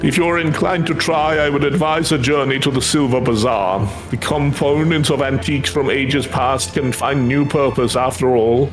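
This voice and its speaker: A man's voice: mid-range voice, fairly even intonation, normal speed, hardly any pauses, close to an everyday voice, low-quality recording. A man voicing a courtly, earnest fantasy craftsman, devout and grateful, speaking quest dialogue in formal, flowery phrasing. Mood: courteous. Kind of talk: voiced reading of fantasy game quest dialogue. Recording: music behind the voice.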